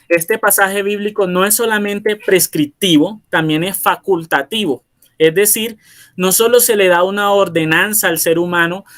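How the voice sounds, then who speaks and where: Coastal guy, kinda high voice, lecturing with lots of uhs and restarts. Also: a bit bright. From Colombia, Barranquilla. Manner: hesitant, many filler sounds and restarts. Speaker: philosopher giving a presentation. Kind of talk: informal lecture, explaining and reading texts.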